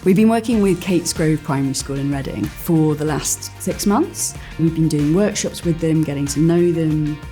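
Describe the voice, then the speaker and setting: Female game maker, very low mellow voice, explanatory interview read. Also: normal speed, hardly any pauses, soft background music.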